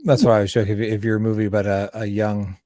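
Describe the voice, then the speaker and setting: A Canadian man chatting casually as an interviewer, wordy and halting, often breaking off and restarting his sentences. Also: fairly low pitch, little pitch movement, mellow, no room sound, studio quality. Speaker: interviewer and filmmaker. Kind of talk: casual podcast interview chat.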